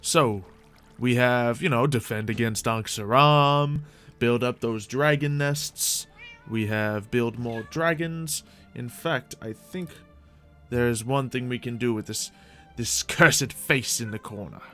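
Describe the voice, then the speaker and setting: A man's voice: mid-range voice, slow pace, fairly bright tone. Guy streaming a game, rambly and playfully grandiose, bit of roleplay, music in the back. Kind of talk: live gaming stream commentary with playful roleplay.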